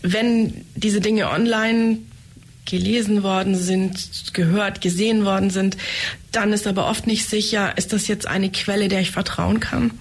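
A woman's voice: medium pitch, steady tone, unhurried, husky. A woman answering interview questions about her organization, confident and matter-of-fact. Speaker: head of a family education center.

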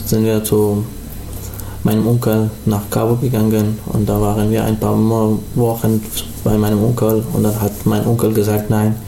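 A young man from Afghanistan, speaking German as an as a guest in a radio interview, halting and full of restarts. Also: on the low side, monotone, creaky, dark tone, echoey room, slight background noise, rough recording. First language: not German. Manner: halting, many restarts and fillers. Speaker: studio guest.

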